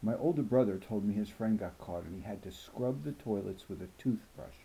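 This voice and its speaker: A man's voice: lowish voice, animated, normal speed, vocal fry, mellow, a little hiss or hum, low-quality recording. A man voicing a cocky, mischievous fifth-grade boy who tells his own story in a boastful, jokey, matter-of-fact way. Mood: cocky, amused. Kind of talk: first-person children's novel narration.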